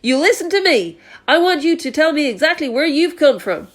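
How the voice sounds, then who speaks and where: A woman's voice: sing-song, a little fast. Woman doing a blustering, bossy police chief. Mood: shouting bossy. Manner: loud, shouting, raised pitch.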